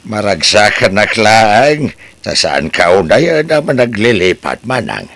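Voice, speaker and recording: A man's voice: lowish voice, very animated, takes it slowly, a bit bright, dry recording, exaggerated voice. A man playing a caring cousin and a remorseful grown son in an amateur audio drama. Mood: friendly.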